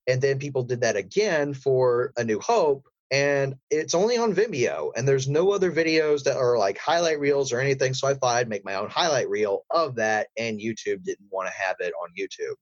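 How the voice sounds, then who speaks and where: A man's voice: medium pitch, no echo, highs cut off. A man speaking as an interview guest, a voice actor who gives long, rambling explanations in a conversational way. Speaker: voice actor and audio drama creator, interview guest.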